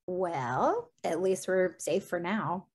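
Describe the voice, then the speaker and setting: A woman playing a kind, optimistic young heroine with an earnest, friendly delivery in an animated fantasy table read. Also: lowish voice, takes it slowly, slightly rough, exaggerated voice. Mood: determined.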